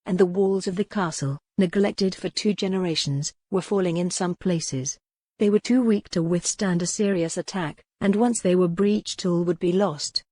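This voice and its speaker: A woman narrates scripted historical fiction with measured, dramatic delivery. Her voice sits slightly low, and the recording is close and dry. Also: dark tone, dry recording. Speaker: audiobook narrator.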